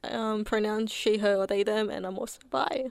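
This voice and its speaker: A woman co-hosting a community radio show, brief and low-key. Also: fairly even intonation, normal speed, gravelly, clean tone, no room sound, clean studio sound. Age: 20s. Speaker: radio co-host. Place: radio studio.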